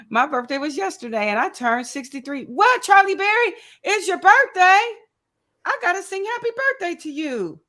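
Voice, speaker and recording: A woman in her fifties chats her way through a live crafting stream, greeting each viewer by name and laughing often. Her voice sits a little high, and she takes her time with every phrase. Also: sharp tone. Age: 50s. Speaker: crochet channel host. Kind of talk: casual livestream chat.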